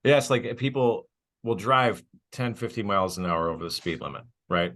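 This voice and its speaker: Low-voiced man, profane casual podcast banter. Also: normal speed. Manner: profane, blunt, joking; animated storytelling with acted-out dialogue. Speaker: podcast co-host. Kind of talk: casual comedic chat.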